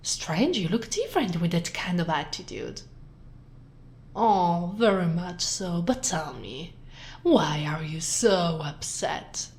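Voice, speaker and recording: Woman acting slow, teasing, smug female sheriff. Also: fairly low pitch, sing-song, darkish tone, heavily acted. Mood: sarcastic, taunting. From Italy. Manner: sultry, teasing, breathy drops into whispers. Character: teasing, smug, flirtatious female sheriff gloating over captive. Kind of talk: ASMR roleplay, scripted acting.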